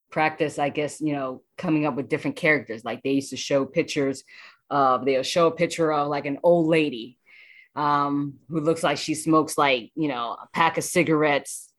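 Interview guest: woman, deep register, informal, halting delivery, thinking out loud. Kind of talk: casual interview.

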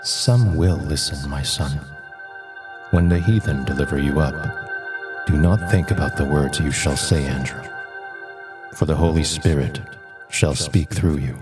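Divine Lord, extremely deep, fatherly, solemn, measured, music swelling behind, audio drama. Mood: serene, reassuring. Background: prominent music.

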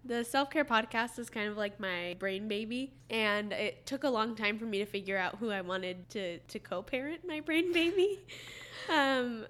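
A woman's voice: on the high side, expressive, moderate pace, fairly bright tone. Chatty woman hosting a casual podcast. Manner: chatty, with frequent "like" and restarts. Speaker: podcast host, hairstylist. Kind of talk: casual chat.

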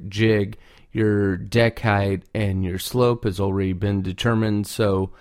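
A man's voice: lowish voice, darkish tone, no echo, studio quality. A man giving informal, off-the-cuff instruction as a hands-on craftsman, often repeating words for emphasis. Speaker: duck call maker giving advice.

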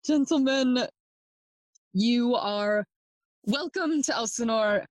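A woman's voice: a little high, no echo, rough recording. A woman playing a brooding, mocking young prince who feigns madness, with theatrical Shakespearean delivery in an audio play. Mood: wry, probing. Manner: theatrical, deliberate verse delivery. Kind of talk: Shakespearean audio play acting, bilingual English and Spanish.

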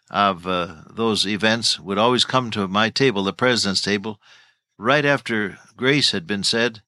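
A man's voice: lowish voice, bright, forceful tone, dry recording. An older man teaching the Bible on radio, telling folksy stories and chuckling as he goes.

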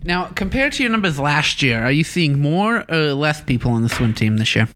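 A man's voice: fairly high pitch, big pitch swings, moderate pace, fairly bright tone, a little hiss or hum. A man co-hosting a school podcast, joking and bragging playfully. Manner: playful, boastful joker. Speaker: student podcast co-host. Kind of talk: casual chat.

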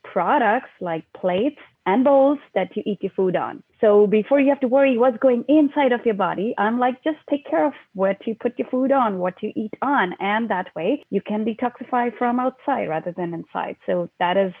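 A woman from India, a guest on a podcast, explains her work in an earnest, steady way. Her voice comes through a tinny, phone-like line that sounds dry and close. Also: a little high, moderate pace, hardly any pauses, breathy edge, no echo. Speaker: guest, small business owner. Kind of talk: interview conversation.

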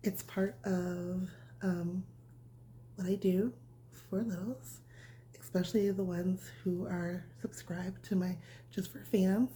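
A woman reading a picture book aloud as a bedtime storyteller, speaking in a nurturing, simple way as if to a small child, with a breathy voice that often drops toward a whisper. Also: on the low side, fairly even intonation, slow pace, dark tone, slight background noise, low-quality recording.

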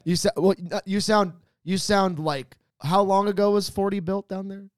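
A man with a fairly high voice hosts a podcast in casual banter. He rambles and jokes his way through thoughts, swearing a little. The sound is dry, with very little room. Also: little pitch movement, normal speed, smooth, no echo. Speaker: podcast host. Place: recorded at a theater company's space.

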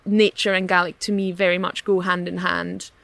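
A woman's voice: lowish voice, flat delivery, moderate pace, vocal fry, a bit bright, no room sound. A woman whose first language is German, hosting a podcast interview in a conversational, appreciative way. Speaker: podcast host.

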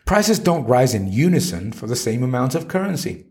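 A man narrating a written article in a scripted, emphatic and deliberate reading style. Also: medium pitch, sing-song, darkish tone.

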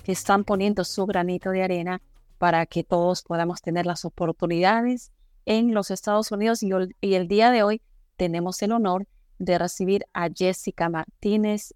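A woman's voice: fairly low pitch, steady tone, normal speed, a little mellow, smooth, no echo, studio quality, soft background music. A woman from Peru hosting a podcast interview, chatty and welcoming as she introduces her guest and asks questions. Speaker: podcast host.

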